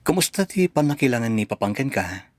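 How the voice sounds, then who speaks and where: A gentle young Ilocano husband, played by a male actor, with a mid-range voice. He asks concerned questions in a radio drama, recorded dry over music. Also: medium pitch, big pitch swings, fairly quick, dry recording, close to an everyday voice. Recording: dry studio sound over music bed.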